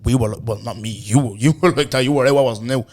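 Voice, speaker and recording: A man, hosting a podcast in loose, slangy chat, his voice hoarse. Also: mid-range voice, quick pace. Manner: loose, animated storytelling with lots of slang and repeated "bro". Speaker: podcast host. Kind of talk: casual chat.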